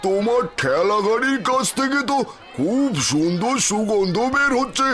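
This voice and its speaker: A man's voice: high-pitched, expressive, normal speed, fairly bright tone, faint music behind. A man voicing a curious, demanding street-food customer in a cartoon. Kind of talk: cartoon voice acting.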